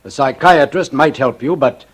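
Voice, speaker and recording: An actor playing a grave, blunt professional adviser in 1940s radio suspense, measured and stern. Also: male voice, fairly high pitch, natural voice.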